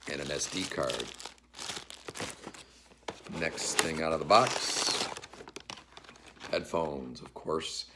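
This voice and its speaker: Very deep-voiced man, casual unboxing. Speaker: unboxing video host. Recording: noisy room with rustling and clicking.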